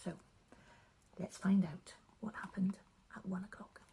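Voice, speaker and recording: A woman reading a storybook aloud for young children, with dramatic emphasis and drawn-out repeated words. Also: mid-range voice, fairly even intonation, creaky, dark tone, breathy edge, low-quality recording.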